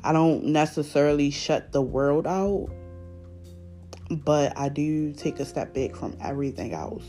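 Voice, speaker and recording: A woman with a Baltimore accent hosting her podcast, talking freely with a raspy voice from a recent illness, rambling with fillers and restarts. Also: low voice, unhurried, mellow, faint music behind. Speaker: podcast host. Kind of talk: unscripted casual monologue.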